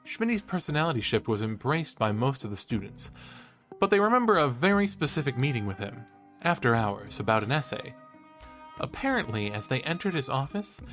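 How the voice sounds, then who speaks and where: A man playing an eager, credulous young student podcaster in an audio drama, talking in an animated, earnest, teasing way. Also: mid-range voice. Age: young adult. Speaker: podcast co-host character. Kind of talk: conversational audio drama acting.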